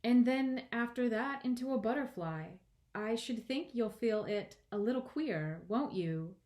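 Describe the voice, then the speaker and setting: A woman's voice: fairly high pitch, animated, a little slow, a little mellow, voice pushed up. A woman voicing a shy, polite young girl in a children's storybook, earnest and a little hesitant. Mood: polite, insistent. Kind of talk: children's storybook read-aloud.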